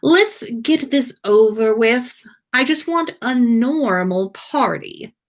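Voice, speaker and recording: A woman's voice: on the high side, expressive, slow pace, voice pushed up. Lady doing a snobby irritable young wizard guy in a story, drawls it out, very up and down. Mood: weary, matter-of-fact. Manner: snooty, scornful, exasperated. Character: haughty, irritable young wizard man, easily flustered.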